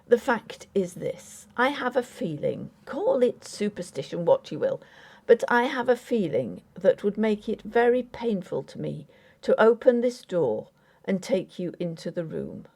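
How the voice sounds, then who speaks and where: Lady doing a formal victorian husband, earnest, a bit condescending and impatient, mellow tone, audiobook drama. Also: mid-range voice, very animated, measured pace. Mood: placating. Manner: formal, placating, patronizing. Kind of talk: dramatic audiobook character acting.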